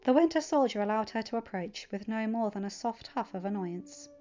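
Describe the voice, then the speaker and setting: Female podfic narrator, expressive story reading, lo-fi recording over faint music. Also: on the high side, brisk. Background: background music.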